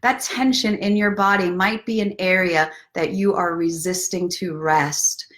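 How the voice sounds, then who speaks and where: A woman's voice: on the low side, fairly even intonation, measured pace, a bit bright. Encouraging woman chatting to live viewers. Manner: encouraging, playful, talking directly to viewers. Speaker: self-love coach hosting a live story time. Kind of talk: casual live-stream chat with guided breathing and book reading.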